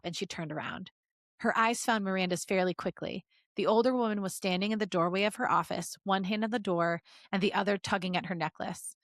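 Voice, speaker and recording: A woman podcast host reading fan fiction aloud as an expressive story narrator. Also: medium pitch, no echo, studio quality.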